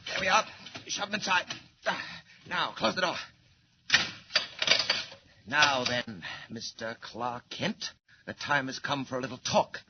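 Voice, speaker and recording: An animated, sinister radio crime boss. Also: male voice, mid-range voice, measured pace, pauses often. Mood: commanding, gloating. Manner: formal, mannered, menacing phrasing. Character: sinister, suave criminal mastermind, menacing and controlling. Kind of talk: 1940s radio acting. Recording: noisy, dull 1940s radio recording.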